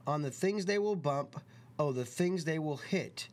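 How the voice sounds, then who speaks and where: A man's voice: a little high, lively intonation, unhurried, a little hiss or hum. A man voicing a fretful, scolding pet fish from a children's storybook, in a fussy, alarmed manner. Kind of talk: read-aloud children's storybook.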